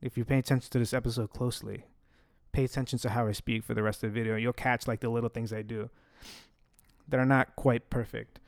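A man's voice: mid-range voice, little pitch movement, fast, no echo, studio quality. A young man whose first language is Italian, hosting a casual fan Q&A, chatty and joking, with a slight stutter and restarts. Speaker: host answering fan questions. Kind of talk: casual Q&A chat.

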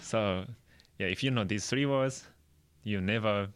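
A young man from Japan with a Japanese accent, hosting a casual chat in halting, simply phrased non-native English. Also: lowish voice, clear, resonant tone. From Chiba, Japan. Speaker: podcast host. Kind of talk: casual free talk.

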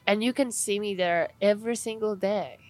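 A woman's voice: medium pitch, normal speed, a little mellow. A woman from Poland, an athlete and trainer answering interview questions earnestly, restarting sentences as she searches for words.

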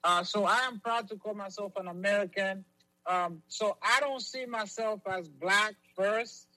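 Bright, high-voiced man from Zambia. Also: low-quality recording. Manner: halting, many restarts and fillers. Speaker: guest. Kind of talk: interview answers.

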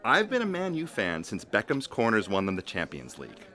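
Audio drama best mate, male actor: young, forceful tone, very animated delivery, joking and passionate about soccer. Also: on the low side, fairly quick, heavily acted. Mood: bantering. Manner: bantering, animated.

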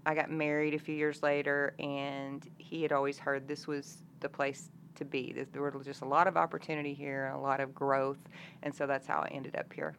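A woman's voice: rumbling low, little pitch movement, moderate pace, creaky, a bit bright, a little hiss or hum. A woman, speaking casually as a singer-songwriter being interviewed. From Arkansas, United States. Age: 50s.

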